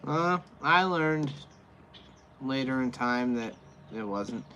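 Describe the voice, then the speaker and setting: American guy, mid-pitched, rambling live-chat host with a faint hiss behind him. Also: expressive, slow, pushed and bright, dull audio. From USA. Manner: rambling, restarts with many fillers. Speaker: livestream host. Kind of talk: casual livestream chat answering viewer comments.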